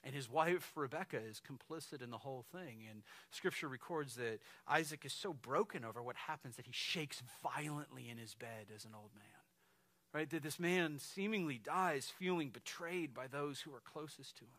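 A man in his forties, a lead pastor, preaching an animated, conversational sermon on stage, often checking in with "right?". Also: medium pitch, moderate pace, no room sound. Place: stage of a large dark church hall, indoors.

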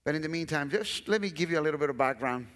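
Guatemalan pastor preaching in a big hall. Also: male voice, on the high side, animated, very fast, a bit bright. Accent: Guatemalan Spanish. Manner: earnest, repeats phrases, walks the stage. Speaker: guest pastor. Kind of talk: sermon. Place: large church stage. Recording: long church hall reverb.

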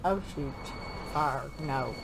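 A middle-aged woman giving casual, excitable reaction commentary, blurting exclamations as she co-hosts a show. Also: extremely low pitch, takes it slowly, slight background noise. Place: small living room, on a couch.

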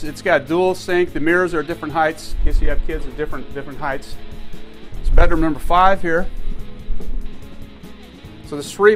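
An older man chatting enthusiastically as he walks through his house, pointing out its rooms. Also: on the high side. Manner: chatty, enthusiastic, frequent restarts. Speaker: homeowner giving a house tour. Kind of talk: casual walking tour narration. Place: inside a house, moving room to room. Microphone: camera mic, filmed walking behind him.

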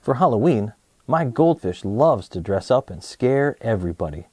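A man in his thirties presenting and reading a children's storybook aloud in an expressive way, speaking to young listeners. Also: mid-range voice, moderate pace, slightly rough, no echo. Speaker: host of a children's read-aloud show.

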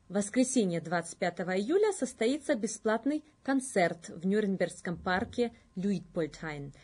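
A woman's voice: mid-range voice, a little mellow, husky. A woman in her thirties reading scripted news as a presenter in an indoor studio. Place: indoor studio, plain backdrop.

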